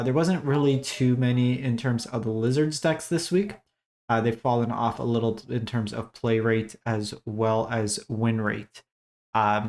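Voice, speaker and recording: A man hosting a casual game strategy breakdown, his voice raspy as he is losing it, talking in a conversational way with many fillers. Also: medium pitch, a little mellow. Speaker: host. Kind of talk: casual gaming commentary.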